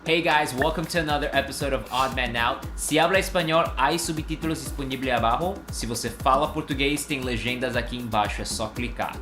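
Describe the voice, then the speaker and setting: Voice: mid-range voice, fast, few pauses, fairly bright tone. A show narrator reading a polished, inviting scripted introduction. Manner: polished, inviting presenter delivery. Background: music.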